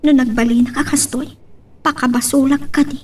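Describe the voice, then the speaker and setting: A woman's voice: high voice, steady tone, moderate pace, darkish tone, distant mic, slight background noise, lightly acted. A woman playing a sorrowful, worried wife in an Ilocano love drama, with an Ilocano accent. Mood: sad, hurt. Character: sorrowful, worried wife pressed over having no child. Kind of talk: Ilocano radio-style love drama acting.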